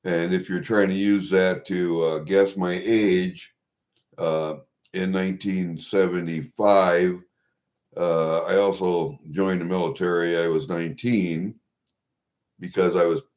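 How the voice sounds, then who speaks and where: A man in his sixties from Iowa with a Midwestern American accent, chatting to viewers in a rambling, halting, blunt and salty way. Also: low voice, fairly even intonation, darkish tone. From Iowa, USA. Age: 60s. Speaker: vlogger. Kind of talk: rambling casual chat to viewers. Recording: dull lo-fi home recording.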